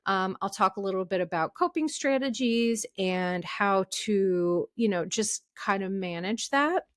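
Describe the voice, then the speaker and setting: A woman's voice: medium pitch, lively intonation, unhurried, dry recording. Chatty woman podcast host, dry sound. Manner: chatty, candid, many fillers and restarts. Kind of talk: casual solo podcast talk.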